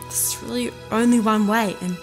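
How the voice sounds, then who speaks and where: A woman playing a calm, knowing princess, an inner voice speaking with measured patience in a fantasy audio drama. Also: fairly high pitch, close to an everyday voice. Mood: calm, explanatory. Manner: measured, patient, gently insistent. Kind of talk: full-cast fantasy audio drama acting. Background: music.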